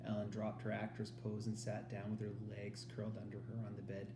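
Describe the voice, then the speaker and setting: A man's voice: lowish voice, completely flat delivery, a little fast. A man reading a storybook aloud as a teacher does for students, recorded in an echoey room. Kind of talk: storybook read-aloud narration. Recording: echoey room with faint noise.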